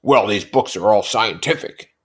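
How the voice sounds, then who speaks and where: A man's voice: on the low side, normal speed, pitched above the performer's own voice, lightly acted. A man voicing a pompous, irritable, bullying rich husband from a novel in an emphatic, blustering manner. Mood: insistent, pompous.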